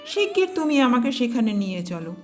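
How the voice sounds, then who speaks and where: Quick-witted cartoon monkey, woman performer, high-pitched and persuasive, expressive storytelling over a musical bed. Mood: coaxing. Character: clever, quick-witted monkey, loyal friend turned mocking. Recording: music behind the voice.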